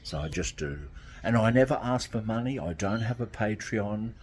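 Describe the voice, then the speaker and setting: A man's voice: lowish voice, expressive, moderate pace, slight background noise. A man, talking casually to camera from outdoors by a garden, rambling and repeating himself. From Australia. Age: 60s. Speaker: vlogger.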